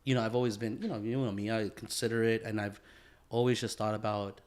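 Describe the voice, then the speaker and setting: A man co-hosting a casual podcast chat, laid-back and quick to agree with lots of fillers. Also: on the low side, steady tone, darkish tone. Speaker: podcast co-host.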